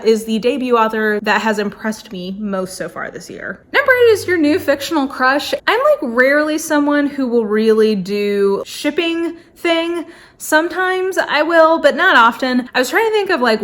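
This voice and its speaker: A woman with a somewhat high voice talks casually to her viewers as a book reviewer. Her delivery is effusive and informal, peppered with "like" as she goes. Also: fairly bright tone. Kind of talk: casual chatty book talk to camera.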